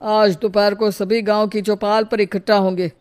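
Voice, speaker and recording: A woman's voice: medium pitch, level tone, normal speed, darkish tone, lightly acted. A woman voicing a stern, self-important village headman in a cartoon morality story. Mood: authoritative. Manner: reproachful, authoritative.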